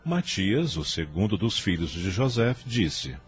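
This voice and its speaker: A man's voice: sits low, very animated, unhurried, faint music behind. A man narrating a scripted radio drama in a solemn, dramatic storytelling manner, on a noisy radio recording.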